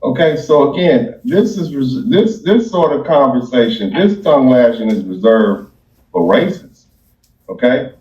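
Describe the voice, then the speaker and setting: A man giving reaction commentary in a measured, deliberate way, searching for the right words. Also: mid-range voice, animated, darkish tone. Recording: dull, echoey, distant microphone.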